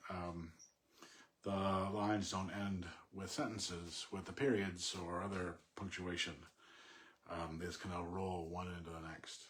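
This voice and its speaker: A man reading poetry and commenting on it informally in a measured, thoughtful way. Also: low voice, level tone, a bit bright. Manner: halting, thinking aloud with self-corrections. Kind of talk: poem recitation and informal unscripted commentary.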